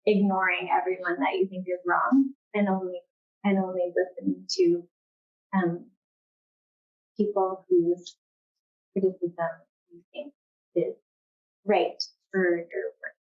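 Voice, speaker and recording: A woman's voice: fairly low pitch, slow, pauses often. American woman author, casual, dull lo-fi sound. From California, United States. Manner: informal, hesitant, frequent "like" as filler. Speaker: novelist, guest author. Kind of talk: casual unscripted answers at a literary event. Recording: dull, lo-fi event recording.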